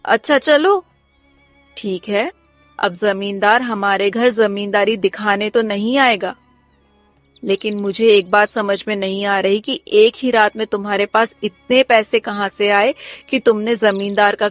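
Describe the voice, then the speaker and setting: A woman voicing a sharp-witted, scolding village wife in a cartoon story. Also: medium pitch, steady tone, measured pace, no echo, faint music behind, natural voice. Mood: puzzled. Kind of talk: Hindi cartoon story dialogue.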